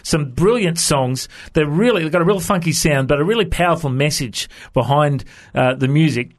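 A man's voice: on the high side, moderate pace. An Australian man hosting a radio show, interviewing in a casual, upbeat style. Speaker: radio host.

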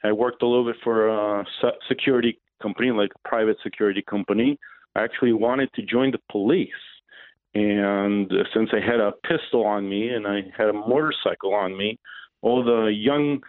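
A man in his forties from Israel with an Israeli accent, a radio interview guest answering questions in a halting way, with frequent pauses and fillers. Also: fairly low pitch, dry recording. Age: 40s. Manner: hesitant, many pauses and "uh" fillers. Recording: tinny phone line.

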